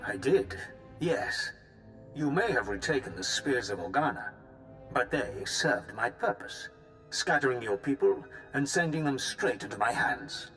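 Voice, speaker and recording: An actor playing a smug, menacing baron in a video game, formal and condescending, with civil politeness over threat. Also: male voice, mid-range voice, lively intonation, measured pace, fairly bright tone, faint music behind. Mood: smug, threatening. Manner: smooth-talking, condescending, formal. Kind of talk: cinematic video game villain acting.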